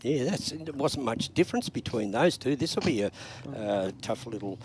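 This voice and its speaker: An older man, co-commentating drag races in a relaxed, knowledgeable way. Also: mid-range voice, normal speed, slight background noise.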